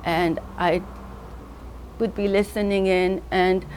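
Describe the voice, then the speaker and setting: A woman's voice: medium pitch, little pitch movement, slow, darkish tone, slight background noise. An older woman artist, born in North Africa, reminiscing in a casual interview, drifting loosely from one name and memory to the next and often laughing through her stories. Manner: rambling, loose storytelling that drifts between names and memories.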